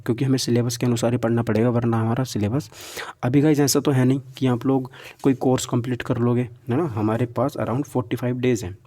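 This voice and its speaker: A man's voice: medium pitch, warm tone. A man giving an informal exam-prep lecture, instructive, mixing in English terms and adding frequent check-in tags. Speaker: exam-prep physics teacher. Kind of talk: informal lecture going through a syllabus.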